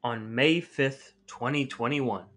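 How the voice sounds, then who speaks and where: A man's voice: medium pitch, slow pace, a bit bright. A middle-aged American man hosting a podcast, speaking in a scripted, narrating style. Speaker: podcast host.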